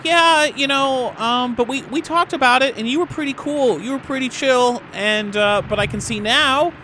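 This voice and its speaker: A man playing a defensive, pleading young aspiring actor in a tabletop game, halting and stammering. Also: very high voice, bright, forceful tone, slight background noise, voice pushed up, poor audio.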